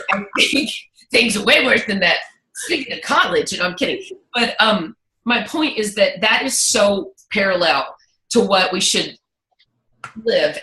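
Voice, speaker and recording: Woman, host, casual chat, candid, animated asides, middle pitch, roomy echo. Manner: candid, animated, talks through asides and quoted thoughts.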